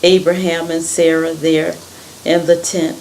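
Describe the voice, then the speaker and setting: A woman reading a children's story aloud in an expressive, deliberate way. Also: sits low, a little hiss or hum, poor audio.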